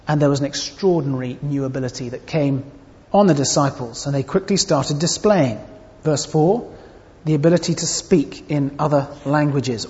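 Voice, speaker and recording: Male preacher, slightly high voice, earnest and expressive, long hall reverb. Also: lo-fi sound. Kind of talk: sermon.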